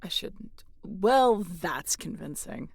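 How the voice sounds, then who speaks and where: A woman's voice: fairly low pitch, sing-song, takes it slowly, creaky, breathy edge, no echo, heavily acted. A woman playing a guarded, sarcastic starship captain and biologist in a sci-fi audio drama, dry and often muttering. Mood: skeptical, guarded. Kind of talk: scripted sci-fi audio roleplay.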